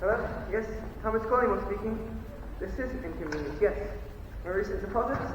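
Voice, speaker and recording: A teenage student actor playing a blustering, indignant upper-class gentleman in a melodramatic stage farce rehearsal. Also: high voice, expressive, brisk, heavy background noise. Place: large indoor high school rehearsal space. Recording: very echoey hall, distant mic.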